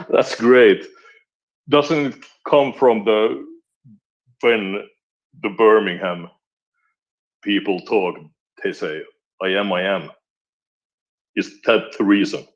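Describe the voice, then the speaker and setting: A man chatting casually on a live audio space, asking relaxed, curious questions. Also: on the low side, slow, pauses often, lo-fi sound.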